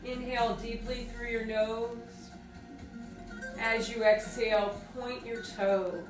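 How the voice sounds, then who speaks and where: Woman, very slow pace, soothing guided meditation, deliberate pauses, soft music behind. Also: on the high side, fairly even intonation. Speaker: group exercise coordinator leading guided meditation. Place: indoors on a rug by firelight. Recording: roomy, distant mic, music behind.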